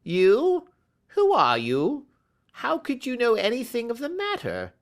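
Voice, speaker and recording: Man voicing a high whining nervous little man. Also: huge pitch swings, measured pace, full character voice. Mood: frightened. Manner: high quavering whine.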